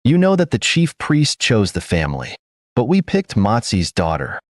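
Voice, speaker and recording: A man's voice: fairly low pitch, huge pitch swings, normal speed, dry recording, studio quality. A man voicing a patient older queen in a read folk tale, explaining matters to her husband. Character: calm, wise older queen, gently teasing.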